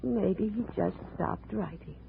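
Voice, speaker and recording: An actress playing a brooding, anguished young wife in a 1950s radio drama, speaking in a formal, halting way. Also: female voice, mid-range voice, vocal fry. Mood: wistful, insistent. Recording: muffled, noisy 1950s radio recording.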